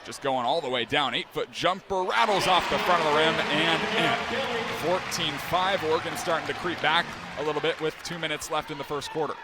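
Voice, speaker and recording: A young male play-by-play announcer with a fairly high voice, calling the action quickly and crisply and rising to shouts on big plays. Behind him a loud arena crowd roars, and steady broadcast noise sits under the call. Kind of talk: live sports play-by-play.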